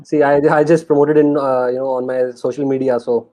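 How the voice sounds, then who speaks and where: A guest on a live webinar, a man with a medium-pitched voice, chatting before the session starts. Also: flat delivery, a little fast, warm tone. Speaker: guest panelist, digital marketer. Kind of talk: casual chat. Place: online live video session.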